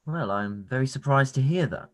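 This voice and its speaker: A man playing a genial, courteous Regency gentleman officer in a radio play, affable and courtly. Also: mid-range voice, expressive, moderate pace, close to an everyday voice. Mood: amiable. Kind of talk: radio play live read.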